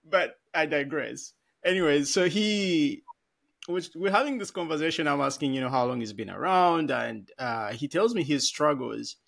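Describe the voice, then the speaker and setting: A man's voice: on the high side, expressive, moderate pace, creaky, fairly bright tone. A man in his thirties from Africa, co-hosting a podcast over a video call on a headset, telling a story in a rambling, self-correcting way with frequent laughs. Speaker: podcast co-host. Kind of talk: casual conversational storytelling. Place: small room at home. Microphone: over-ear headset on a video call.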